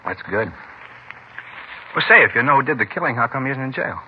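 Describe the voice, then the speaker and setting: A man's voice: mid-range voice, expressive, brisk, lightly acted. An American man playing a plain, hospitable carpenter questioned as a witness in a 1950s radio drama, talking in casual, folksy, clipped phrases. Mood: chatty. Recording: muffled old radio recording, faint noise.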